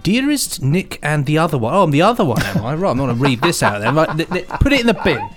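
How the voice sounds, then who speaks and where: Man, British accent, lively swooping intonation, casual jokey banter, chuckly email reading, background music. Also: fairly high pitch, quick pace. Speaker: podcast co-host.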